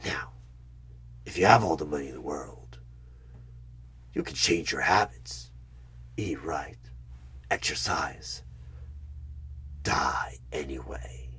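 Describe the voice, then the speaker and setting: A man playing a sinister, smug evil tempter in a horror ASMR roleplay, speaking deliberately in a husky voice with dramatic pauses and frequent whispers. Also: a bass voice, animated, pushed and bright, full character voice. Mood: coolly menacing. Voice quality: husky, breathy.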